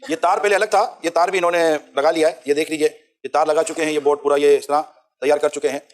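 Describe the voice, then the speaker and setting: A man's voice: fairly high pitch, fairly even intonation, fast. A man presenting a show with live running commentary, effusive and often repeating himself.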